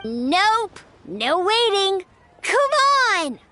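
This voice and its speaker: Very high, bright excitable child. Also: female voice, exaggerated voice. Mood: insistent. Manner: eager, insistent, drawn-out phrases. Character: bossy, excitable, playful small child. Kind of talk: scripted game character dialogue. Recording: game dialogue over background music.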